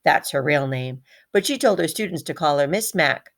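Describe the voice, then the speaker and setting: Low-voiced woman reading a storybook. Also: animated, normal speed. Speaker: teacher reading a children's book aloud. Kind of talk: expressive storybook read-aloud.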